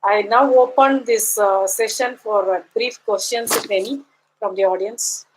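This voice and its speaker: A woman who speaks Tamil natively, chairing an academic session and answering questions in a measured, halting way with frequent fillers. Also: mid-range voice, steady tone, a little hiss or hum, poor audio.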